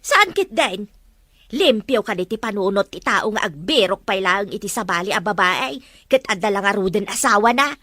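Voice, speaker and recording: A woman's voice: mid-range voice, expressive, moderate pace, sharp tone, no echo, studio quality. A woman acting a scolding, disapproving mother in a drama. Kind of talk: Ilocano-language drama dialogue.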